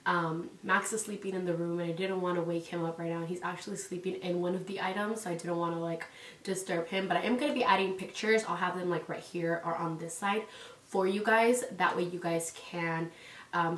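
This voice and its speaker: A woman's voice: fairly low pitch, far from the mic. Stuffy-nosed woman chatting in an echoey room. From California. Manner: rambling, many "like"s and "um"s. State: stuffy, congested from a cold. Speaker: parenting vlogger. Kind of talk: casual chatty vlog. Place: indoors at home. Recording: echoey room, away from mic.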